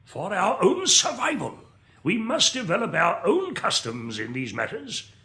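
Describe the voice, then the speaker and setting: An actor playing a firm, formal, authoritative committee speaker in a radio drama. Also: male voice, sits high, wildly swooping pitch, measured pace, pushed and bright, heavily acted. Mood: firm, declamatory.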